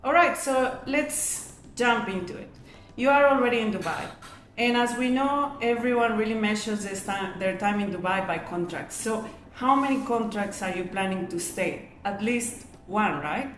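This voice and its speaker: A middle-aged woman hosts an instructional webinar in a medium-pitched voice. She explains step by step and often poses questions that she answers herself. The room is echoey, with a faint layer of noise behind her. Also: moderate pace. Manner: asks and answers own rhetorical questions. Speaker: webinar host and real estate agent.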